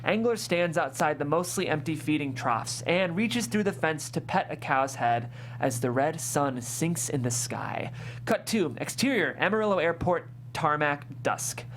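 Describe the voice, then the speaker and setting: A woman hosting and narrating, speaking in a clear presenter's way as she reads or introduces. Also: low voice, animated, measured pace, slight background noise. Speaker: host and stage-directions narrator. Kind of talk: scripted narration and interview hosting.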